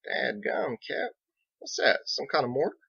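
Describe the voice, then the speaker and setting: A man's voice: on the high side, very animated, measured pace, vocal fry, pushed and bright, slightly rough, dry recording, lo-fi sound, pitched above the performer's own voice, heavily acted. A man voicing a folksy, plain-spoken mason in an audiobook, drawling rustically with dropped words. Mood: candid, folksy. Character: Biggs, folksy plain-spoken male mason, friendly and shrugging. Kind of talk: audiobook character dialogue.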